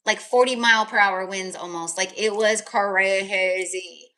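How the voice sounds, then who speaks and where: Bright-voiced woman rambling on a live stream. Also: mid-range voice, steady tone, a little slow. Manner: rambling, many fillers. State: voice tired and crackly. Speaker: tarot reader and energy healer. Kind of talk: casual live stream chat.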